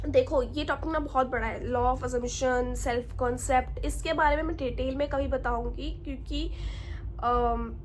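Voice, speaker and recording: Woman w a high mellow voice, bit of a sore throat, earnest self help vlog talk, faint hiss behind. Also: poor audio. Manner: earnest, coaching, keeps checking in with the viewer. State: sore throat with a slight cough. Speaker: self-help video creator. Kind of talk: casual advice vlog.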